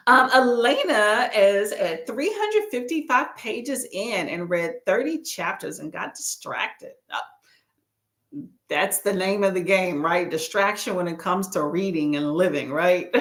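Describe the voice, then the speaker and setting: A woman's voice: medium pitch, fairly bright tone, roomy sound. A woman in her thirties from Texas with a light Southern accent, chatting casually and laughing often as she hosts a book livestream. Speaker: booktube livestream host. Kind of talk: casual live chat.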